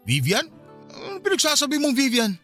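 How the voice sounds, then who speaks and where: A man's voice: very high voice, wildly swooping pitch, brisk, fairly bright tone, soft background music, heavily acted. A man with a Filipino accent playing an irritable, defensive husband in a radio drama. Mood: annoyed, defensive.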